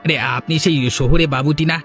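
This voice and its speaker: Man playing sly village rogue, rapid speech, theatrical, wheedling, cartoon storytelling, faint music. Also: on the high side, steady tone, a bit bright, lightly acted. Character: sly, cheeky village chicken thief, a married man. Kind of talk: animated folk-tale cartoon acting.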